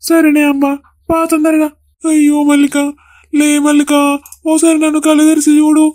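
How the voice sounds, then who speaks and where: A woman's voice: high voice, monotone, moderate pace, warm tone, pitched below the performer's own voice, close to an everyday voice. A woman voicing an earnest, anxious young adult son in a cartoon, with a Telangana Telugu accent. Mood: worried.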